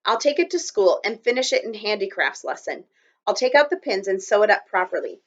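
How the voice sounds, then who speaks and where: An eager young boy talks high and loud, bursting with excitement, as a woman narrator acts him out. The storybook recording sounds a little dull. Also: brisk.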